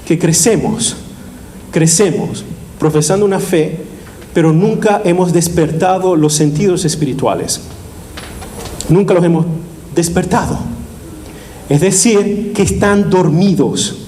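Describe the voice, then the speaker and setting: A man preaches at a retreat with a high, lifted voice. He keeps asking the congregation questions and repeats his key phrases. A faint ambient noise sits under the recording. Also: a little slow, pauses often, mellow. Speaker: priest. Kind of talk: sermon.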